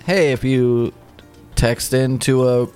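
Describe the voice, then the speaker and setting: A man's voice: medium pitch, fairly even intonation, slow, darkish tone, clean tone, faint music behind. A man chatting casually with a friend who is playing a game, making dry, wry remarks. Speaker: podcast co-host. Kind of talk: casual chat.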